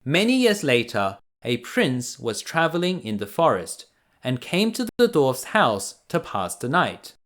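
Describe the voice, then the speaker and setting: A man reading a fairy tale aloud to children as a bedtime storyteller, deliberate and expressive. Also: medium pitch.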